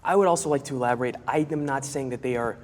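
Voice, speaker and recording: Hesitant man in a reverberant room. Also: mid-range voice. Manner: hesitant, halting. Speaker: YouTuber, debate participant. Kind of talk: casual debate.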